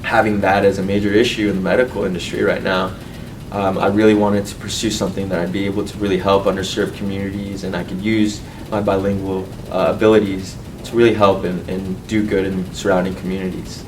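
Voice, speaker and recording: Low-voiced young man, recorded from afar. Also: little pitch movement, a little fast. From Colombia, raised in California. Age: 20s. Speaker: medical student and university board representative. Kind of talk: personal testimonial to camera. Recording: distant mic, faint rumbling noise.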